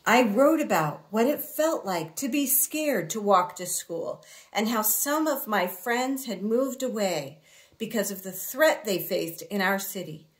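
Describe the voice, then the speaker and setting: A woman reads a children's story aloud in a mid-range voice. Her pace is unhurried and deliberate. She is expressive, leaving dramatic pauses between phrases. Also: medium pitch. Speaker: picture book reader.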